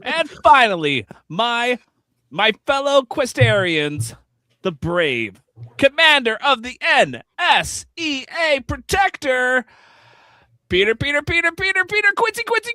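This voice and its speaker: A man playing an eager, nervous bit-part actor who works as a convention emcee, animated and stammering in a live radio-theatre script read. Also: very high voice, a little slow, no room sound, exaggerated voice. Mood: hyped.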